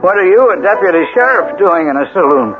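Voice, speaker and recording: Worked up husband in old radio play, high voice swinging all over, stammers, insistent. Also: male voice, lively intonation, fast talker. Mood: brooding, resolved. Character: agitated suspicious small-town husband, obsessed with a prankster. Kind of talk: old-time radio drama acting. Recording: muffled old radio recording, music behind.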